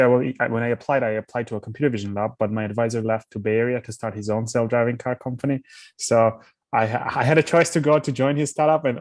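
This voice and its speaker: A man's voice: mid-range voice, a little mellow, no echo. A young man from Armenia, a startup founder answering interview questions thoughtfully, often restarting his words.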